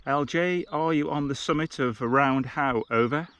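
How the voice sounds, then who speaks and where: A man's voice: fairly high pitch, measured pace, fairly bright tone, slight background noise. A man giving a casual, practical outdoor field-test commentary, speaking plainly as a hiking vlogger. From United Kingdom. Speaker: hiking vlogger and gear tester. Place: outdoors on open hills.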